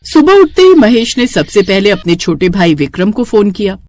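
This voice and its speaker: A woman's voice: mid-range voice, big pitch swings, moderate pace, darkish tone, dry recording. A woman narrating a scripted moral story as a storyteller.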